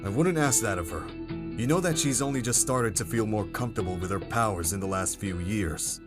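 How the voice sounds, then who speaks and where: A man's voice: lowish voice, expressive, a little fast, music in the background, natural voice. A man voicing a blunt, skeptical scientist who interrogates a captive in a fan audio drama.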